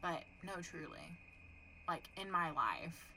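A woman's voice: fairly low pitch, lively intonation, takes it slowly, sharp tone, a little hiss or hum, low-quality recording. A young woman with a Texan accent co-hosting a podcast, chatting frankly, swearing casually and laughing often. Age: young adult. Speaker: podcast co-host. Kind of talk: casual chat.

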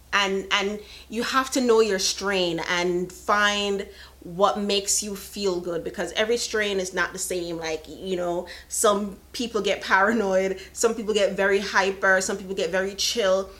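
A woman's voice: mid-range voice, fairly bright tone. A woman in her thirties hosting a casual live podcast, chatting frankly and laughing often. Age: 30s. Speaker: podcast host. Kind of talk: casual live-stream chat.

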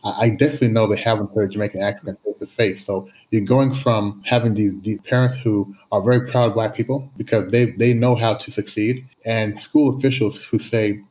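A man's voice: fairly low pitch, steady tone, fairly quick, hardly any pauses, a little mellow, rough recording. A middle-aged man from Canada with a slight lisp, telling his life story as an interview guest, reflective and often restarting his words. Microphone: video call.

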